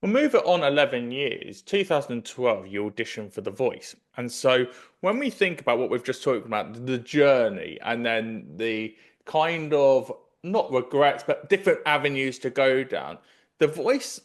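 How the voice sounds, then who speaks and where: A man's voice: mid-range voice, animated, moderate pace. Man hosting a conversational podcast. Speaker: podcast host. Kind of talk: conversational interviewing. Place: indoors in a kitchen, on a video call. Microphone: desk microphone with headphones.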